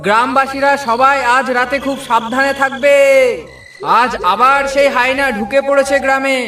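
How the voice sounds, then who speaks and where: Man voicing a high, sharp village night watchman. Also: flat delivery, moderate pace, far from the mic. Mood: alarmed warning. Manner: scolding, loud, repetitive warning calls. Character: stern, alarmed village night watchman shouting warnings. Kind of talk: cartoon voice acting.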